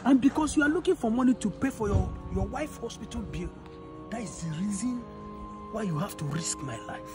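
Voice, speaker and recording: High male voice, Nigerian accent, actor as wronged generous customer, emphatic, repetitive, outdoor skit, music behind. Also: expressive, brisk. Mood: hurt, reproachful. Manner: emphatic, repeats himself. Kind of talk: improvised moral skit acting. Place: outdoors in an open car yard. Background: music, vehicles.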